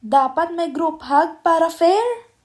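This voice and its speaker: A woman acting as a giddy, teasing high school friend in an amateur radio drama. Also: animated, slow, full character voice.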